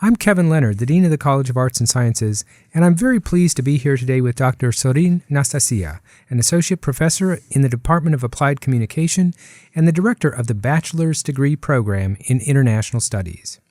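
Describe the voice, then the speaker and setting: A man's voice: mid-range voice, expressive, a little fast, a little mellow, dry recording, clean studio sound. A man hosting a university interview show, reading polished introductions and asking questions. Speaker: host, college dean.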